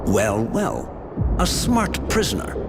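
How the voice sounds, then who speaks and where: An actor playing a haughty, coldly mocking camp officer in a video game, speaking with imperious sarcasm. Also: male voice, fairly low pitch, wildly swooping pitch, slow pace, creaky, loud music behind the voice, exaggerated voice. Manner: imperious, sardonic. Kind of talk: video game cutscene acting.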